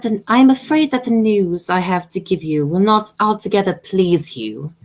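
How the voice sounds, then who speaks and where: Woman playing a pompous aristocratic aunt, swooping pitch. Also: lively intonation, normal speed, a little mellow, natural voice. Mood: haughty, unflappable. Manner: pompous, formal. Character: haughty older upper-class lady aunt, imperious and dry. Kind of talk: amateur radio play of period comedy.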